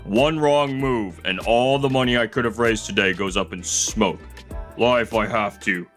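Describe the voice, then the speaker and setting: A man voicing a scheming, panicky CEO who smooths over his lies in a manga dub. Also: fairly low pitch, moderate pace, fairly bright tone, soft background music, natural voice. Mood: panicked inner thoughts.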